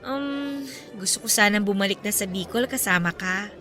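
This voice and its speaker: Woman acting bright, sweet Filipina girlfriend. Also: mid-range voice, moderate pace, lightly acted. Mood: casual, sweet. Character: devoted, sweet girlfriend in her thirties, newly graduated. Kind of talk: radio drama acting. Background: background music.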